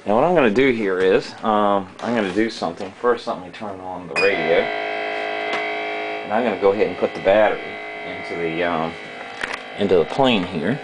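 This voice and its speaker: A man giving a casual, unscripted how-to demonstration, explaining methodically and haltingly with frequent pauses. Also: sits low, over loud music, low-quality recording. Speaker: hobbyist demonstrator.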